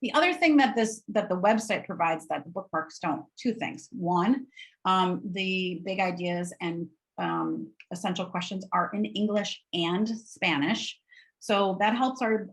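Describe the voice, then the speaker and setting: A woman's voice: medium pitch, poor audio. A woman with a nasal Wisconsin accent giving an upbeat, informal webinar walkthrough of educational resources in a conversational way.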